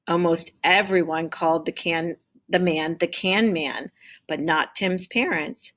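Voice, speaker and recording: Low, husky-voiced woman reading a storybook aloud. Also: a little slow. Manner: expressive, acts out dialogue. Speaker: teacher reading aloud to pupils. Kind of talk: storybook read-aloud with explanatory asides.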